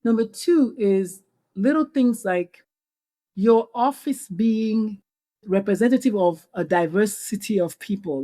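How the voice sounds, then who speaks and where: A woman's voice: mid-range voice, mellow. A middle-aged Nigerian woman physician speaking as a podcast guest, telling stories earnestly and animatedly, often restarting her sentences. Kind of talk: conversational interview.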